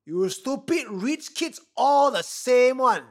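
A man voicing a bullying, furious army sergeant in a story, scolding in clipped Singlish with a raspy bark and a Singaporean accent. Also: very high-pitched, animated, takes it slowly, voice pushed up, full character voice. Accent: Singaporean, colloquial Singlish. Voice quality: raspy, barking. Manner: shouted scolding in broken, clipped English. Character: raging, bullying male army platoon sergeant, scornful and crude. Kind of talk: acted dialogue in a story reading.

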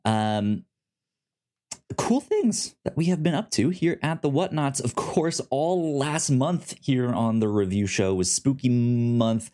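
A man's voice: mid-range voice, expressive, slow, mellow. A man co-hosting a casual podcast, chatty, often stumbling and restarting his words. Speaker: podcast co-host.

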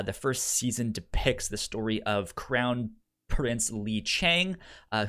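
Male podcast co-host, lowish voice, unhurried and halting, marked stutter with repeated syllables, casual pitch style. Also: fairly bright tone, clear, resonant tone.

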